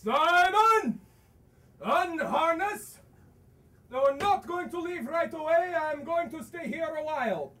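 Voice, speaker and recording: Stage comedy, male actor as hot-tempered landowner creditor: very slow, halting, exclamatory delivery with big pitch swings, scornful of women. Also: expressive, bright, forceful tone, heavily acted. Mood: blustering. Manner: blustering, exclamatory, grumbling to himself with halting outbursts. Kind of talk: theatrical stage acting of a comic one-act play. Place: theater stage, recorded from a distance. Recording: distant stage recording with faint noise.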